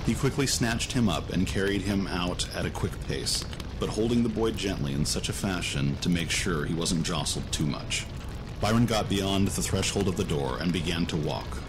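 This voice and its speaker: Deep-voiced man narrating fantasy. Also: low-quality recording. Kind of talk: scripted fantasy story narration. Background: music.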